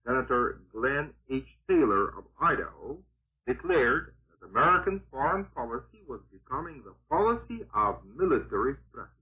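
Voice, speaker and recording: A man delivers scripted political commentary in the style of 1940s radio. His voice is very rough and husky, with a lively, swooping intonation that drives home each point with sardonic, oratorical emphasis. The sound is narrow and tinny, like an old broadcast. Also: medium pitch, moderate pace.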